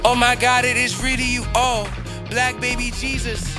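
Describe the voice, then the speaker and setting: A woman's voice: fairly high pitch, flat delivery, moderate pace, music in the background, natural voice. A woman voicing a suffering, whiny old man cursed to damnation in a comic fandub. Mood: awed, babbling.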